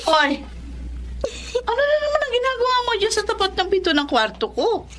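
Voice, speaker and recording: Fiery, sharp Filipino club hostess. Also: female voice, extremely high pitch, very animated, a little fast, exaggerated voice. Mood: irritated. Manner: sharp, scolding, confrontational. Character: fiery, scolding club hostess, protective older-sister type. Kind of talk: Filipino radio drama acting.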